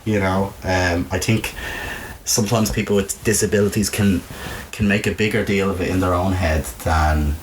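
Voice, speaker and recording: A man's voice: sits low, fairly even intonation, moderate pace, rough recording. A man with a Dublin accent telling personal stories in a candid, informal, sweary way, peppered with "you know". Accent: Dublin Irish. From Ireland. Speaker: interview guest, video maker. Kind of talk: casual personal storytelling. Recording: noticeable background noise.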